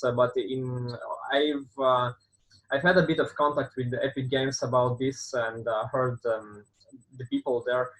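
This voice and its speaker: A man's voice: medium pitch, poor audio. A man, a non-native English speaker, answering interview questions as a game development consultant, with many fillers and restarts. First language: not English. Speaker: guest, game dev consultant and company founder.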